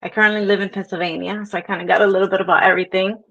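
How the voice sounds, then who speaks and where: A woman's voice: medium pitch, flat delivery, rapid-fire, creaky, fairly bright tone, no room sound. A young woman from Brooklyn with a New York accent and a touch of Spanish, casually telling her life story as an interview guest, laughing easily. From Brooklyn, New York, Dominican descent. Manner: chatty, laughs easily, many fillers. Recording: dull lo-fi video call audio.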